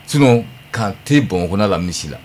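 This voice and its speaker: Lowish voice guy explaining stuff on a radio panel, goes up and down a lot, faint hiss. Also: expressive, a little fast, darkish tone. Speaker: radio guest.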